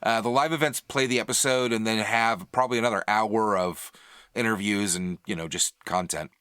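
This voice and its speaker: A man co-hosting a podcast in casual, animated banter about movies and TV, opinionated and freely swearing. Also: fairly low pitch, moderate pace, clear, resonant tone, no echo, clean studio sound. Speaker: podcast co-host.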